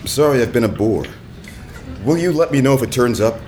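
Guy doing a hardboiled radio detective, deadpan wisecracks, voice moves around a lot, middling pitch. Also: brisk, a little hiss or hum, lightly acted. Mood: wry, persistent. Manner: deadpan wordplay. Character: wisecracking hardboiled male private detective, dry and wry. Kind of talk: noir radio drama acting with narration.

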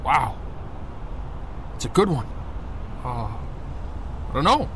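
A man's voice: medium pitch, sing-song, a bit bright, exaggerated voice. A man playing a superhero's loyal best pal, rambling earnestly and casually in a comedic audio drama. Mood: musing, unsure. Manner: halting, casual, earnest dimwitted musing. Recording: faint outdoor street noise.

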